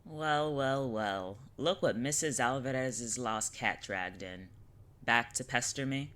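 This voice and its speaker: A brash, jaded witch in an audio drama. The voice is bright and sharp, over some background ambience. She talks with wry, breezy, theatrical sarcasm. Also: very deep voice, slow pace, slight background noise, full character voice. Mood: sardonic.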